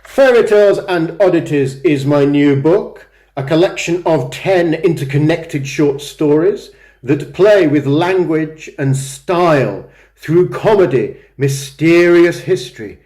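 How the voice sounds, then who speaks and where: A man reads literary fiction as an author presenting his own work. His delivery is slow and expressive, with lively swings in pitch and a mellow tone. The sound is roomy and echoing. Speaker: author introducing and reading own book. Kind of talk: scripted introduction and literary story reading.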